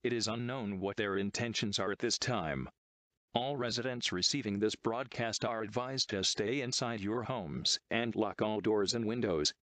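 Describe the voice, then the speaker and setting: A low-pitched synthetic man's voice delivers a scripted emergency alert. The sound is dry and close, with no room around it. The delivery is formal, measured and impersonal, like an official announcer. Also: fairly bright tone, dry recording. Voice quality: synthetic text-to-speech voice.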